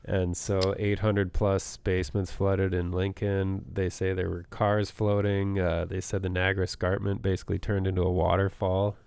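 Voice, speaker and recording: Low, flat-voiced man. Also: moderate pace, vocal fry, hardly any pauses, smooth, no room sound. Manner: explains with figures, many "uh" fillers. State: voice a bit worn. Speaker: podcast co-host. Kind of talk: casual podcast chat.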